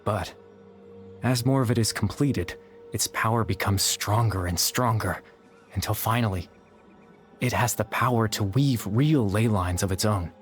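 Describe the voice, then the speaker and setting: An actor playing a melancholy, resigned being in a video game, explaining things in a measured, formal way. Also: male voice, on the low side, soft background music, natural voice. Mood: somber, resigned. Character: melancholy male being resigned to his sacrifice, calmly explanatory. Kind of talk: dramatic video game voice acting.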